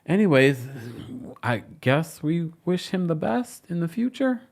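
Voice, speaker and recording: A man's voice: fairly high pitch, sing-song, measured pace, creaky. A man hosting a celebrity news show, reading the story and adding mocking, sassy asides. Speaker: show host.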